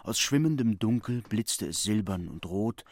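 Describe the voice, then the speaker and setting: A man's voice: fairly low pitch, animated, moderate pace, a little mellow, dry recording. A man narrating a literary radio play from a written text.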